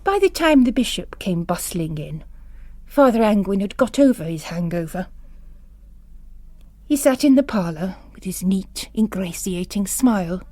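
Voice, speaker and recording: Woman reading a novel, really animated but slow, mellow voice, super dry recording. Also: mid-range voice, dry recording. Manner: expressive, deliberate. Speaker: narrator. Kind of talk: dramatic literary story narration.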